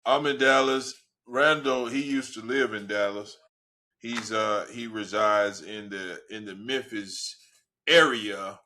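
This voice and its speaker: A man co-hosting a casual tech podcast over a video call, relaxed, with fillers like uh and right. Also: fairly low pitch, slow, slight background noise. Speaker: podcast co-host.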